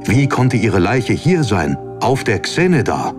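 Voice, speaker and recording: A man's voice: mid-range voice, big pitch swings, unhurried. A man reading a fantasy story as a scripted, dramatic audiobook narration. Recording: narration over background music.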